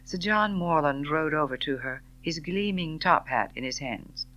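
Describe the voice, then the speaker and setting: A woman reading a novel as an audiobook, narrating in an expressive, theatrical way. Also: sits low, moderate pace, highs cut off. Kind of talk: scripted dramatic novel narration.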